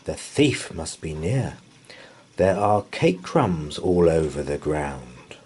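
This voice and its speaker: A man voicing an excitable, daring young boy detective in a storybook, with dramatic, exclamatory delivery. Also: extremely low pitch, takes it slowly, husky, pitched below the performer's own voice, full character voice. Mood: hushed.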